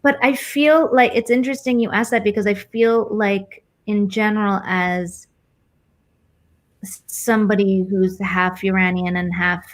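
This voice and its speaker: A woman's voice: mid-range voice, fairly even intonation, a little slow. A woman from the United States with an American accent, talking casually and reflectively as an interview guest, informal and thinking aloud as she goes.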